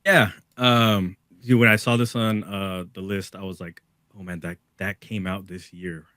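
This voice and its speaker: A man's voice: low voice, little pitch movement, unhurried, pushed and bright, dry recording, studio quality. A man co-hosting a music podcast, talking in a laid-back casual way with lots of "like" and "dude". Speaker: podcast co-host. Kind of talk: casual music review chat.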